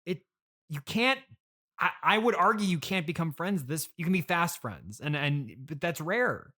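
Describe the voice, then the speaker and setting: A man hosting a casual comedy interview, speaking in a halting, hesitant way as he gently reasons with his guest. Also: on the high side, animated. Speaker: podcast host.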